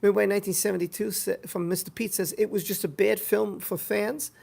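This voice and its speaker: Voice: mid-range voice, fairly even intonation, a little fast, gravelly, darkish tone. A live stream host chatting casually and reading out viewer comments, rambling and repeating phrases for emphasis.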